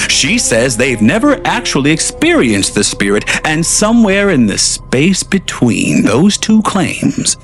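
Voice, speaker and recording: A man narrating a scripted audio drama in a slow, solemn, deliberate way. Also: medium pitch. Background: faint music.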